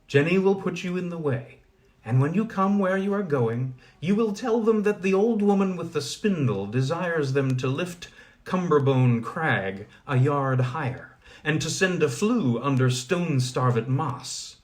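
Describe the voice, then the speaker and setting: An old blind woman at her spindle gives brisk orders in a storybook, as a man puts her on. Her voice sits a little higher than his own and moves lively up and down. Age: older. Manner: brisk, giving instructions.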